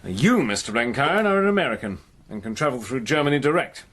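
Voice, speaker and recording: An actor playing a steady army major in a radio drama, measured and earnest, also narrating. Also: male voice, mid-range voice, husky. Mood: resolute.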